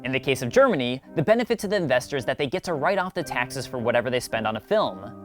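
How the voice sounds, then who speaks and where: A man's voice: mid-range voice, very animated, quick pace, a bit bright, soft background music. A man hosting a video essay, reading scripted narration with wry, conversational asides. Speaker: host of a video essay series.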